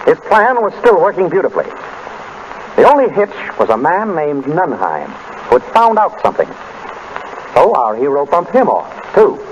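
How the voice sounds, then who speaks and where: An actor playing a suave, shrewd American detective with an urbane, deliberate manner in a 1930s radio drama. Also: male voice, on the high side, huge pitch swings. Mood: measured, explaining. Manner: urbane, theatrical, deliberate questioning. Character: suave, shrewd detective husband revealing a murderer at dinner. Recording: muffled, noisy 1930s radio broadcast recording.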